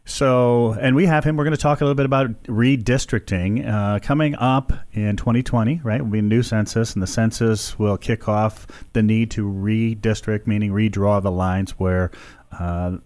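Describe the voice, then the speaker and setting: A man's voice: on the low side, fairly even intonation, moderate pace, a little mellow. A man hosting a local radio talk show, chatting casually and rambling with many fillers. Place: radio studio.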